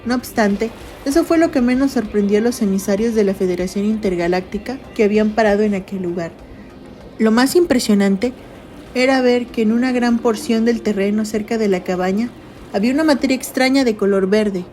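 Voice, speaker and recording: Mellow-voiced woman narrating a story. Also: mid-range voice, brisk. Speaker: audio drama narrator. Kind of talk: scripted literary narration. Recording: music bed under voice. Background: background music.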